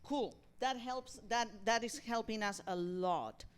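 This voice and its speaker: A woman speaking as a theatre program director leading an informal feedback talkback, halting and rephrasing as she thinks aloud. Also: fairly high pitch, clear, resonant tone. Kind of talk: informal post-reading talkback with audience.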